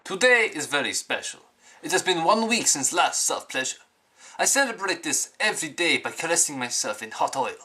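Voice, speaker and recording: A young man playing a self-styled wandering samurai, rambling in a deadpan way with sudden crude outbursts, recorded outdoors on city streets. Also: on the high side, moderate pace, heavily acted. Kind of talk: improvised character comedy to camera. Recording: loud street and traffic noise.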